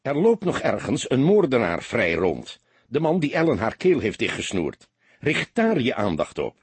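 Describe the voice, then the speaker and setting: A man voicing a seasoned, laconic police inspector who lectures his young partner calmly and a little gruffly, with a husky voice. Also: medium pitch, moderate pace, no echo, heavily acted. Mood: stern.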